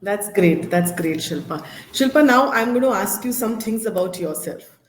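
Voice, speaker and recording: A woman's voice: mid-range voice, lively intonation, moderate pace, slight background noise. A woman with an Indian accent hosting a conversational live interview. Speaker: interview host. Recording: roomy echo.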